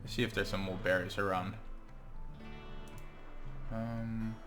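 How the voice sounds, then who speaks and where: Low-voiced Norwegian man narrating his gameplay. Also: steady tone, music in the background. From Norway. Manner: thinks aloud with frequent ums and pauses. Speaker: gaming channel host. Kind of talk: let's play commentary.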